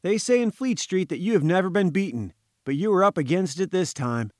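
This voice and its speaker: Man putting on a plaintive, high-pitched little reporter. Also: expressive, a little fast, a little mellow, no room sound, clean studio sound. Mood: wheedling. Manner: sputtering, bleating protest. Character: plaintive, indignant fat little male newspaper reporter.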